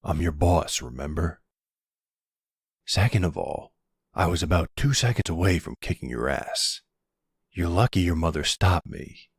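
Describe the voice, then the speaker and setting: A man playing a suave middle-aged mafia boss in a boyfriend audio roleplay, gravelly, measured and wry, commanding but capable of tenderness. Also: low voice, expressive, no room sound, clean studio sound, full character voice. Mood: scolding, threatening. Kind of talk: ASMR boyfriend roleplay acting.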